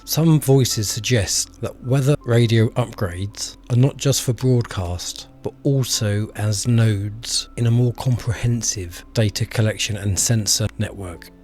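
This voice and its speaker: A man with a fairly low voice narrates a scripted explainer over music. His delivery is measured and earnest, and he addresses viewers directly as a presenter. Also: darkish tone. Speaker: video presenter and commentator.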